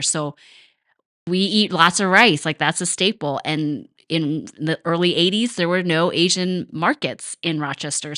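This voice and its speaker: Low-pitched woman chatting reflectively. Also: fairly bright tone, no echo, clean studio sound. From United States. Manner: chatty and reflective, with frequent fillers. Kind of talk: casual conversational chat.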